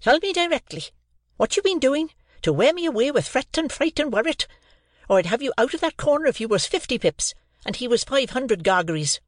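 A man voicing a harsh, bullying older housewife who scolds loudly, in a storybook reading. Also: very high voice, big pitch swings, fairly quick, no echo, clean studio sound, pitched above the performer's own voice. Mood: scolding. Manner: loud, scolding, stressed words.

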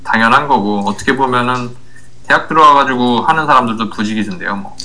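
Bright-voiced man chatting casually. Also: medium pitch, fast, rough recording. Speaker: podcast co-host. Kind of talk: casual chat.

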